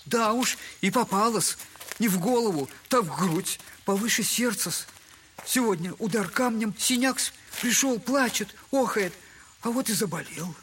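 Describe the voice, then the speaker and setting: A man's voice: high voice, expressive, unhurried, fairly bright tone, slight background noise. An actor in an audio drama playing a humiliated, buffoonish middle-aged poor father who talks in a servile, wordy, self-mocking way. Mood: sorrowful, tender. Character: humiliated, buffoonish impoverished father, bitter and tender. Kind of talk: Russian literary audio drama acting.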